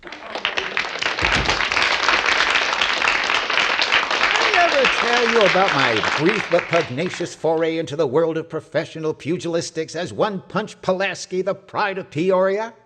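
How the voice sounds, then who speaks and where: A man's voice: on the high side, expressive, normal speed, fairly bright tone, a faint crowd. A man playing a blustering, wisecracking old husband in an old-time radio comedy, with pompous patter and teasing insults. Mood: boastful.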